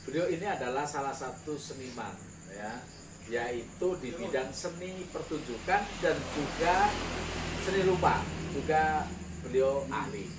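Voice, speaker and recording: A man's voice: mid-range voice, unhurried. Chatty Banyumasan-accented male host, distant and roomy. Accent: Banyumasan Javanese. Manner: chatty, leans on filler "ya". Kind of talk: casual interview chat. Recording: roomy, distant, noisy with crickets.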